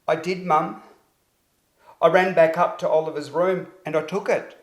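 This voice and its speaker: A man's voice: fairly high pitch, fairly even intonation, moderate pace, voice pushed up, close to an everyday voice. A man voicing a guilty, apologetic young boy in a children's storybook reading, speaking earnestly in an echoing room. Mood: tearful, pleading. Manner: earnest, confessional. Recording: roomy, echoing sound.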